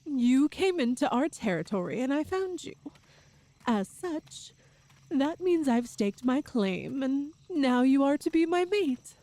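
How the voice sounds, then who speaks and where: A woman playing a tall, strong, cheerful and doting Amazonian woman in an ASMR roleplay, speaking gently in slightly formal phrases. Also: high voice, big pitch swings, unhurried, no room sound, rough recording. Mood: earnest, puzzled. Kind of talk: ASMR roleplay audio drama.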